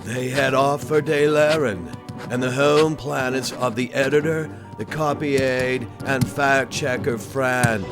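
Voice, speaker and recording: Slow, nonchalant slacker man acted out. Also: mid-range voice, fairly even intonation, music in the background, close to an everyday voice. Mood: nonchalant, compliant. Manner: casual, nonchalant. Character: laid-back, idle male writer, easily pushed around. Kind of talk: audio drama acting.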